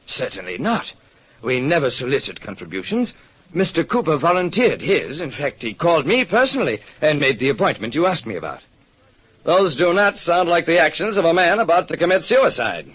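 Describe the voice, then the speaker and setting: Male actor as earnest institution director, formal, firm, rough-edged voice, dull old radio sound. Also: fairly high pitch, very animated, fairly quick, fairly bright tone, full character voice. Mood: firm, proper. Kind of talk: old-time radio detective drama acting. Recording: muffled old radio recording.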